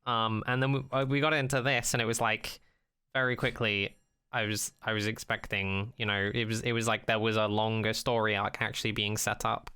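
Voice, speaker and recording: A man's voice: lowish voice, flat delivery, a little fast, pushed and bright, smooth, dry recording. A man co-hosting a podcast, chatting casually and jokingly with blunt, comically exaggerated emphasis. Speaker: podcast co-host. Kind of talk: casual, joking chat about sci-fi television.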